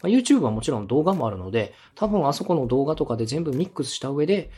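Quick-talking man with lively pitch swings. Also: slightly rough. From Osaka, Japan. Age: 30s. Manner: polite, encouraging. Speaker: podcast host and radio director. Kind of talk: conversational advice to a co-host.

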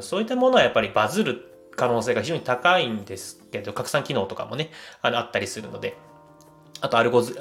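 Guy doing a casual solo podcast, talks quick, kinda halting and hedging as he explains stuff. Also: mid-range voice, a bit bright. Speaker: host of a solo audio show.

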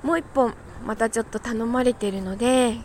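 A woman chatting casually on her own like a morning podcast host, talkative and quick to laugh. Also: on the high side, darkish tone, slight background noise. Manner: chatty, laughs easily while talking. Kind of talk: casual solo morning chat.

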